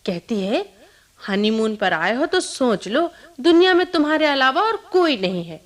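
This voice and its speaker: Young honeymoon bride for radio drama: high, very animated, teasing and fond. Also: female voice, measured pace. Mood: fond, chatty. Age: young adult. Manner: teasing, giggly, coaxing. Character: playful, affectionate young newlywed bride on honeymoon. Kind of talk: Hindi radio play acting.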